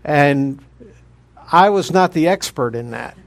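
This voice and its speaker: A man's voice: fairly high pitch, expressive, slow, creaky. Folksy, joking guest preacher man. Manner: jokey, folksy. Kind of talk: sermon.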